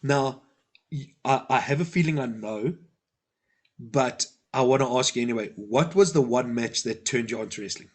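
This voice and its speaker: A man's voice: mid-range voice, moderate pace, husky. Earnest man interviewing. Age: 40s. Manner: earnest, with frequent short agreeing replies. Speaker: interviewer, host. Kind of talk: casual interview chat.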